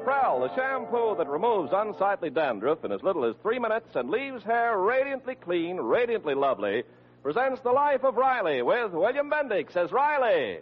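A man's voice: sits high, big pitch swings. A male radio announcer reading in an emphatic, theatrical 1940s sponsor style. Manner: emphatic, theatrical, drawn-out delivery. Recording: dull old radio broadcast. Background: music.

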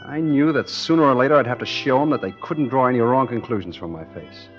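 A man's voice: medium pitch, quick pace, lightly acted. Man playing a cocky American tough guy, old radio. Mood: hard-boiled narration. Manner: tough, slangy, insolent. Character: cocky, ruthless baby-faced young mining engineer. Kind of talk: 1940s radio acting with first-person narration. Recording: muffled 1940s radio recording, faint music.

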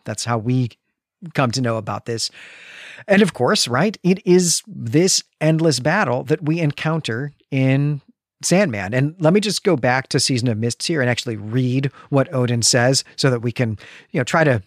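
Podcast co-host, mid-pitch male, explains plot with fillers, dry close sound. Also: no room sound. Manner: explanatory, recapping a story with fillers. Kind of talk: casual comics discussion.

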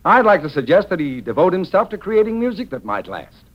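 A man's voice: sits high, big pitch swings, fairly quick, voice pushed up. A man playing a famous songwriter giving a grand, emphatic toast in 1940s radio oratory. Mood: solemn, ceremonious. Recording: dull vintage radio recording.

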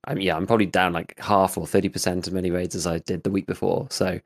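Slightly gravelly low-voiced man. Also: level tone, fast. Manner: rambling, full of "like" fillers. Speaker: podcast co-host, gaming YouTuber. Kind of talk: casual chat.

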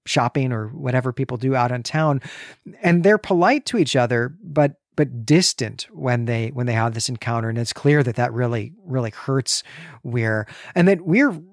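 A man's voice: medium pitch, dry recording, studio quality. A man co-hosting a book podcast, explaining things in long, thoughtful, conversational sentences. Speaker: podcast co-host discussing a novel.

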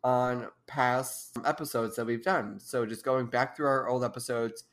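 A woman's voice: rumbling low, steady tone, unhurried. A young woman in her twenties, a podcast co-host, talking casually and giggling often while stuffed up with allergies. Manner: giggly, rambling. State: congested. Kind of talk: casual chat.

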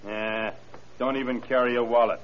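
Tough young henchman played by a man, surly, terse, medium pitch, old radio sound with faint noise. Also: fairly even intonation, moderate pace, fairly bright tone, muffled recording, natural voice. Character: surly male robber's sidekick, tough young hoodlum. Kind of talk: 1940s-style radio drama acting.

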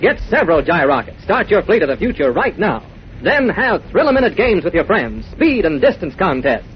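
A man's voice: sits high, sing-song, normal speed. An American man delivering an enthusiastic 1940s radio advertisement as announcer. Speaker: commercial announcer. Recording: dull old radio broadcast, faint noise.

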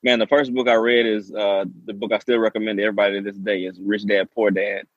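A man from Southeast Texas, speaking quickly in a low, flat voice as he tells his story. The sound is dry and somewhat dull and lo-fi. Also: no echo. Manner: fast, eager storytelling. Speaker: guest, real estate investor. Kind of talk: casual interview talk.

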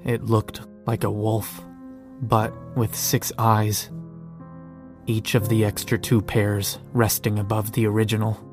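Guy playing grim survivor reading his apocalypse diary, lowish voice, swings around very little, faint music under it. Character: weary, profane male survivor narrating a zombie apocalypse diary. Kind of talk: first-person dramatic diary narration.

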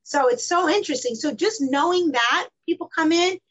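A woman's voice: high-pitched, moderate pace, fairly bright tone, lo-fi sound. A woman in her fifties, a colon hydrotherapist, talking casually in a rambling way with many fillers and restarts. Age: 50s. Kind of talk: casual interview talk.

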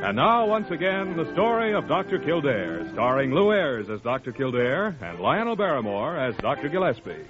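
Male 1940s radio announcer, mid-pitched, animated scripted delivery over clear music. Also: moderate pace, hardly any pauses. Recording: dull vintage radio recording, music behind.

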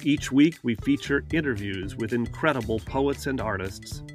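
A man hosting a poetry podcast, reading a scripted introduction in a measured, announcer-like way. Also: medium pitch, soft background music. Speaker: podcast host.

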